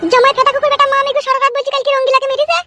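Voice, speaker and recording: A woman's voice: very high voice, little pitch movement, very fast, loud music behind the voice. A woman voicing an agitated, nagging village mother-in-law in a comedy cartoon. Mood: agitated, urgent. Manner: urgent, nagging.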